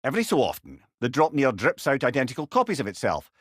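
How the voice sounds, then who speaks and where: A man's voice: mid-range voice, quick pace, breathy edge, dry recording, clean studio sound. A man playing a witty old talking severed head, a wise counsellor with a Scottish accent and an eloquent, wry manner in a video game. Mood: explaining, curious. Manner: wry, eloquent, animated storytelling. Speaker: companion character in an action game. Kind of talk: video game character voice lines.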